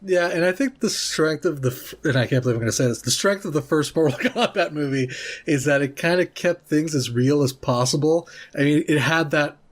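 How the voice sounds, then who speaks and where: A man's voice: a little high, fairly quick. A man chatting casually as a podcast panellist, giving his opinions in informal, conversational phrasing. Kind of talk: casual chat.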